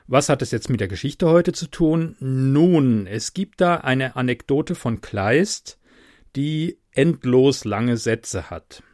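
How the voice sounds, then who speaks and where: Man with a faint Palatine accent, mid-pitched, casual podcast host who reads with dramatic emphasis on a dry close mic. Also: lively intonation, slow, a little mellow, no echo. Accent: Palatine German, softened by speech training. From Mannheim area, Germany. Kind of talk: casual podcast intro, then literary reading.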